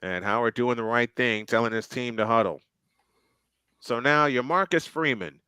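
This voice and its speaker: A man's voice: mid-range voice, moderate pace, sharp tone, smooth, no room sound. A man doing live play-by-play commentary on a football game, chatty and thinking aloud between plays.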